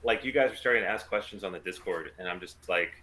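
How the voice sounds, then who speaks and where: A man's voice: low voice, fast, sharp tone, poor audio. A man chatting casually as he organises a group script read over an online call. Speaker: writer and organiser of the table read.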